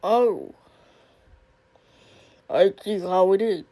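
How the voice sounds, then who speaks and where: Woman acting slow, menacing, controlling father. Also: medium pitch, warm tone. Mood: menacing, scornful. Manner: slow, emphatic, menacing delivery. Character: stern, controlling, threatening father scolding his son. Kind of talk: dramatic solo monologue acting.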